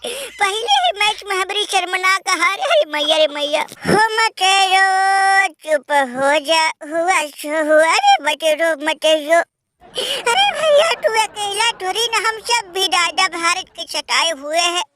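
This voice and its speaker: Squeaky wailing cartoon cricketer. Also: male voice, very animated, measured pace, bright, forceful tone, slight background noise, heavily acted. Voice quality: squeaky, sped-up high voice. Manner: melodramatic wailing, crying while talking. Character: wailing, sobbing male cricketer, beaten and self-pitying. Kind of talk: comic cartoon lament.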